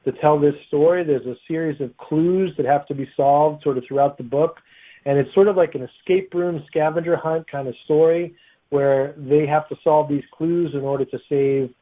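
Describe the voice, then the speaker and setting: A man's voice: a little high, steady tone, moderate pace. A man speaking as a guest author, answering interview questions in a casual, conversational way. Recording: tinny, phone-like line.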